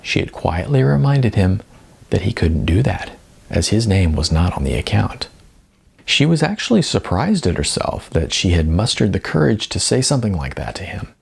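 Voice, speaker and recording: Gravelly, husky male storyteller with lively pitch. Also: normal speed. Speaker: audiobook narrator. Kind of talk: scripted story narration.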